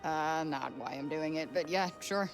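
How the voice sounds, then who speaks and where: Snarky reluctant young heroine in a video game, dry and casual, bit of music behind. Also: female voice, low-pitched, a little slow. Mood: sardonic. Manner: wry, casual, offhand. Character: sarcastic, reluctant young New Yorker heroine.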